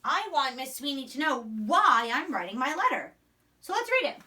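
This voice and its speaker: A woman teacher talking to young children in an expressive, explanatory way, often checking in with short questions. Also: high-pitched, moderate pace, distant mic. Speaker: elementary school teacher. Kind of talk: read-aloud and lesson for young children.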